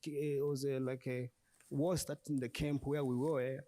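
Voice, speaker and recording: A man from Burundi with an East African accent, giving personal testimony to an audience in halting, repetitive English. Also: medium pitch, flat delivery, crowd noise. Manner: halting, repetitive, non-native English phrasing. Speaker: refugee guest speaker.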